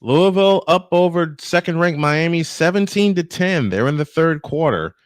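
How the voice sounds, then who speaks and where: A man's voice: a little high, animated, moderate pace, no echo. A man calling baseball play-by-play and bantering casually as a sportscaster.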